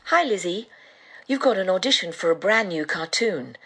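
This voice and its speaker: Casting: woman playing businesslike agent in an audiobook, husky, a little low, brisk. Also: lively intonation. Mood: brisk, informative. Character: businesslike adult voiceover agent phoning with news.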